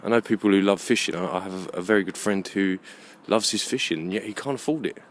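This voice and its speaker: A man's voice: low-pitched, quick pace. A man giving a rambling, unscripted, opinionated monologue to camera as a video blogger, often repeating himself and swearing freely. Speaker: video blogger with his own channel. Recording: clear background noise, rough amateur recording.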